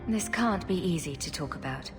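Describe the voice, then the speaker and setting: An actress playing a caring female adventurer who asks gentle, probing questions in video game dialogue. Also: on the low side, lively intonation, moderate pace, big-room sound, over music, lightly acted. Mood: curious.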